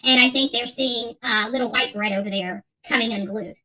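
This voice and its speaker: A woman's voice: a little high, steady tone, normal speed, bright, forceful tone. A middle-aged woman giving an unscripted livestream rant as channel host, talking emphatically and combatively and repeating her phrases. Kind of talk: unscripted livestream rant, reading and answering viewer chat.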